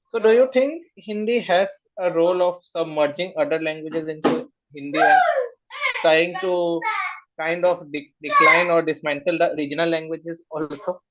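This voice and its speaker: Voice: medium pitch, big pitch swings, moderate pace, highs cut off, background noise. A person asking the guest a long, winding question in a webinar, with some hesitations. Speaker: interviewer.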